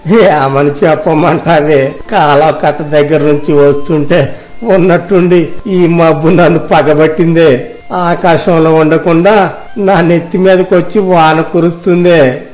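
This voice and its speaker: A man playing an arrogant rich village husband in a moral story, complaining and exclaiming in drawn-out phrases. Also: fairly high pitch, steady tone, darkish tone, soft background music, lightly acted. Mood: bewildered complaint. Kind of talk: acted moral-story dialogue.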